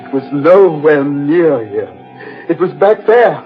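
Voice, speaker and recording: A stubbornly rational, rattled man in a vintage radio horror play. His fairly high male voice is emphatic, theatrical and measured. Music plays clearly behind him on a dull old recording. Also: sing-song. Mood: shocked.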